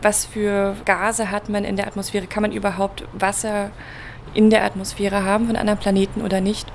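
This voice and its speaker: A woman from Berlin in her thirties, explaining planetary science patiently in a relaxed interview. Her voice sits at a middling pitch. A faint background noise runs under her speech. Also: fairly quick. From Berlin, Germany. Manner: explains patiently with everyday examples, some fillers. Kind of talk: conversational explanation. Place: event venue.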